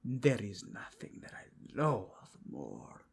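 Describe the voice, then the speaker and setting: A man performs a devout inquisitor in a fantasy roleplay, grave and courteous. His phrasing is formal and archaic, delivered slowly in a husky voice with sweeping rises and drops. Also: fairly low pitch, wildly swooping pitch, exaggerated voice. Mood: righteous loathing. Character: zealous male inquisitor, solemn, courteous, devoutly faithful.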